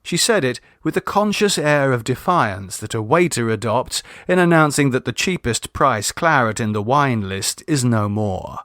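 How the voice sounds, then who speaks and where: A man's voice: mid-range voice, animated, normal speed, few pauses, a bit bright, dry recording, clean studio sound. A man narrating a comic literary short story as an audiobook reader.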